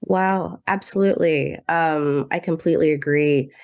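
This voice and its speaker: A woman's voice: low voice, moderate pace, a little mellow. A young adult woman hosting a podcast, talking casually with many fillers. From Zambia, raised in the US. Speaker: podcast host. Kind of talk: casual interview chat.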